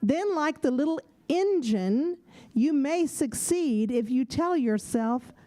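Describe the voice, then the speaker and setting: A woman storyteller performs a tale for children with theatrical delivery. Her voice is fairly high and very lively in pitch, and she takes her time. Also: slow.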